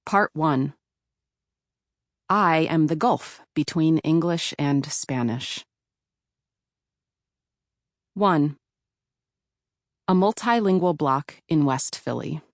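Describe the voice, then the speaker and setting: A woman from Philadelphia narrates her memoir in a calm, measured voice that sits low in pitch. The sound is dry and close, with no room around it. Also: no room sound. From Philadelphia, USA.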